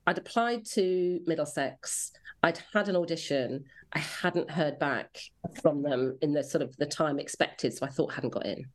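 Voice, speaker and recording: An English woman with a low-pitched voice talks casually as an interview guest. She is chatty and self-deprecating, looking back in a reflective, conversational way. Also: normal speed, few pauses. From rural Devon, England. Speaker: interview guest, children's drama commissioning editor.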